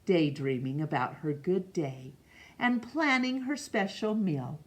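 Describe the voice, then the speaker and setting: A middle-aged woman reading a picture book aloud in a measured, expressive way for children. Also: low voice. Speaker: storytime reader. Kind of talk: read-aloud storybook narration. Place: small room indoors.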